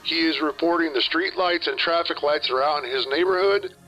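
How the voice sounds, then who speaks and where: A man's voice: on the high side, fairly quick, pushed and bright. A man giving formal, matter-of-fact police dispatch reports over a radio. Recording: dull band-limited radio with music behind.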